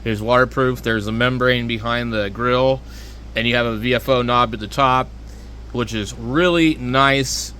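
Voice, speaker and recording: Casting: plainspoken man, mid-pitched, loose and halting hobbyist reviewer, noisy recording. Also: fairly even intonation, pushed and bright. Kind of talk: unscripted, casual radio gear review.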